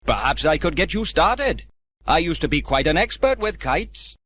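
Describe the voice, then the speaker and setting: A man voicing a proud, clumsy middle-aged minibus driver in a children's storybook, animated and exclamatory. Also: fairly high pitch, moderate pace, slight background noise, pitched above the performer's own voice. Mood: proud, eager.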